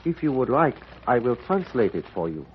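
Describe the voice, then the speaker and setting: An actor playing a grave, dignified older Chinese uncle in a 1950s radio drama, speaking formally, carefully and deliberately. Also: male voice, medium pitch, very animated, slight background noise, close to an everyday voice. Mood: grave, courteous. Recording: muffled vintage radio recording.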